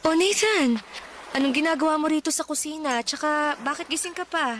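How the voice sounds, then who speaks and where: An actress playing a devoted, worried widowed mother in a Filipino radio drama, talking gently and reassuringly. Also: high-pitched, a little fast, noisy background, close to an everyday voice. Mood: worried, evasive.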